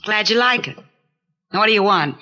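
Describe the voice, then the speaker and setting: A woman's voice: medium pitch, animated, brisk, bright, forceful tone. An American woman playing a tough, slangy, scheming gangster moll in 1940s radio drama style, brassy and streetwise. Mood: wary. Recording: muffled 1940s radio recording.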